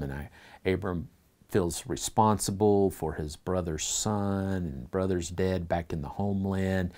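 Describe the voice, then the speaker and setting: A man with a low, mellow voice leads a church Bible class. He reads the passages aloud and talks them through earnestly, stopping to put questions to the class. He speaks in a teaching tone.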